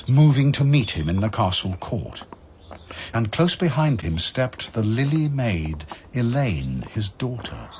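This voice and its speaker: A low-voiced man narrates scripted verse drama, solemn and theatrical. His delivery is slow with wide swings of pitch, over faint ambience. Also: slight background noise.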